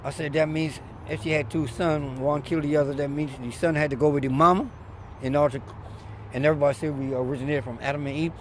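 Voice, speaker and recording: A middle-aged man from Barbados, a former barber, telling stories in an animated, emphatic way during a casual outdoor interview by a street. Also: fairly high pitch, fast talker. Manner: animated storyteller, loud emphatic bursts. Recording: faint street noise outdoors.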